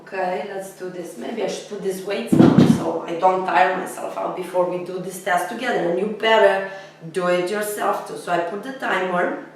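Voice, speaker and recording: A woman with a scratchy voice gives a blunt, energetic fitness lesson straight to her viewers. Her voice is caught from a distance, with a strong echo from the room around her. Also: on the low side, normal speed. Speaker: nutrition and fitness educator. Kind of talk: direct-to-camera health lesson. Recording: very echoey room, voice from off-mic.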